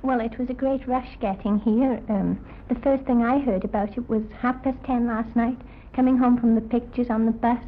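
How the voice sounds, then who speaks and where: A woman's voice: fairly high pitch, steady tone, moderate pace, hardly any pauses, narrow, tinny audio. A young woman speaking as a youth club guest in a short radio interview. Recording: noisy, band-limited 1950s radio broadcast.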